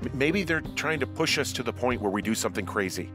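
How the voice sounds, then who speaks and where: An actor with an American accent playing a wary, level-headed fire lookout in a video game, hesitant and conversational. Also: male voice, mid-range voice, lively intonation, fast, music in the background, natural voice. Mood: cautious. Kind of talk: naturalistic video game dialogue over walkie-talkie.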